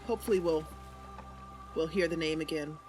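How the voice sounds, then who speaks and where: A woman's voice: low-pitched, fairly even intonation, moderate pace, darkish tone. A woman doing casual, chatty and enthusiastic commentary as she streams a game. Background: faint music.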